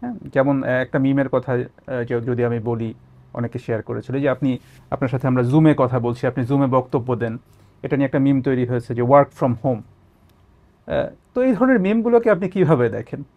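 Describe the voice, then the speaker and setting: A man's voice: medium pitch, moderate pace. A man interviewing a guest, asking polite questions with some hesitant fillers. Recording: tinny phone-like sound.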